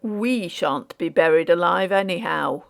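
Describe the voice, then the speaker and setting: A woman voicing a calm, curt gentleman investigator in a ghost story audiobook, quiet and understated, with a hard edge. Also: lowish voice, measured pace, gravelly. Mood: brisk, decisive. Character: Trevennan, calm curt civilian man investigating a haunted house.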